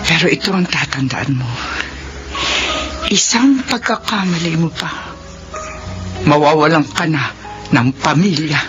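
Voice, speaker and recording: Radio drama role: hurt, stern Filipino mother, expressive pitch swings, muffled sound with music. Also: measured pace, pauses often. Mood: wounded, firm. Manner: wounded, firm, dramatic. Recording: dull lo-fi audio, loud music behind.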